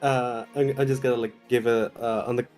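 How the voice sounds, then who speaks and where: A man playing a tabletop game, chatting casually in halting, hesitant phrases. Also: mid-range voice, little pitch movement, darkish tone, faint music behind.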